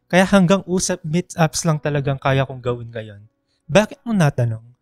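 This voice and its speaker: High-pitched, bantering young man played by an actor. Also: lively intonation, normal speed, dry recording, clean studio sound, soft background music, natural voice. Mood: amused, shy. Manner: light, joking, bantering. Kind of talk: radio drama dialogue.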